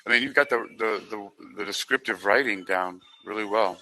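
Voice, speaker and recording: A man with a bright, forward voice set a little low talks casually as a guest. He is encouraging and often feels for his words mid-sentence. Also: moderate pace, rough recording. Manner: encouraging, hesitant phrasing. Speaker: podcast guest. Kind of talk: casual chat.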